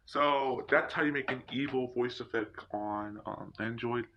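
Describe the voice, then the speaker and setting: A man's voice: medium pitch, unhurried. A man hosting a casual screen tutorial, talking informally and hesitantly through each step. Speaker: tutorial video host. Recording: muffled lo-fi mic with loud noise and clicks.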